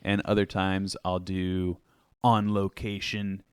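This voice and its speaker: Low-voiced playful male podcast host. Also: completely flat delivery, smooth, dry recording, faint music behind. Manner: playful, jokey, drawn-out emphasis. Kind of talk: casual chat with an ad read. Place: home studio. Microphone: studio microphone. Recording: dry close studio mic.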